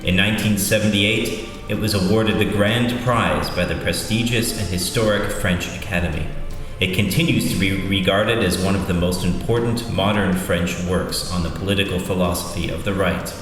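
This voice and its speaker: Low-voiced man reading a cavernous ad. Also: normal speed. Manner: even, formal delivery. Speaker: ad announcer. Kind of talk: scripted ad read. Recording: distant voice in a reverberant hall with music.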